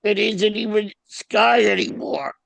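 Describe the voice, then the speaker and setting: A man playing a weary, gentle luckdragon in a script read, speaking in a dry, understated way. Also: sits high, measured pace, creaky, no room sound, heavily acted, rough recording. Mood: weary, grave. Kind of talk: table read of a film script.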